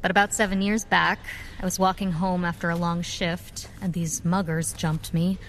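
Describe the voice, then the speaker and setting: An actress playing a spirited, curious young nurse and vigilante in an audio drama, with a wry, frank manner. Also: female voice, lowish voice, little pitch movement, normal speed, slight background noise, natural voice. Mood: earnest, reminiscing.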